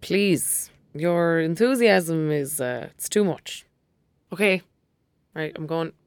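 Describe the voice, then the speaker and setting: A woman's voice: low-pitched, slow, smooth. A woman playing a protective Irish friend in an audio drama, coaxing and colloquial in manner. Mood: wry, chatty. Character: girl's protective female friend, secretly her mother.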